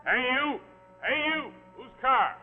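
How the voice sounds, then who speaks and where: An actor playing a brusque, curt state cop in a 1940s suspense television drama. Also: male voice, very high-pitched, big pitch swings, takes it slowly, heavily acted. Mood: challenging. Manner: curt, folksy. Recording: muffled vintage TV soundtrack, very loud music.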